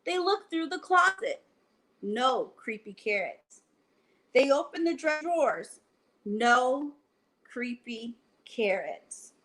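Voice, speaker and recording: High female voice, unhurried pace, storytime host, children's read-aloud, deliberate phrasing. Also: sing-song, a bit bright. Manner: slow, deliberate phrasing, addressing children directly. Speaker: library storytime host and reader. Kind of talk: bedtime picture-book read-aloud for children. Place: at home, indoors.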